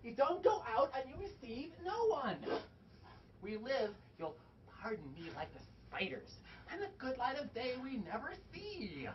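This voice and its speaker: Elderly household servant played by a woman, fussy and coaxing, high theatrical delivery, recorded far from the mic. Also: sing-song, moderate pace, lo-fi sound. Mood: exasperated, pleading. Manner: exclamatory, dramatic, coaxing. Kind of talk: theatrical stage acting. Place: theatre stage, picked up from a distance. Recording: distant stage recording with clear background noise.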